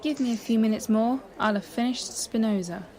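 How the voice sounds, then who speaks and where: A woman playing a curious, composed young space traveller in an audio drama, precise and gently teasing. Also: on the high side, fairly quick, close to an everyday voice. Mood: matter-of-fact.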